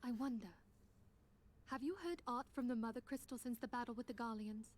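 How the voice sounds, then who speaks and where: A woman's voice: a little high, fairly even intonation, fairly quick, a little mellow, close to an everyday voice. An actress voicing a composed, earnest female leader who speaks formally and with archaic phrasing in a fantasy game. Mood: grave, earnest. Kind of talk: scripted game voice acting.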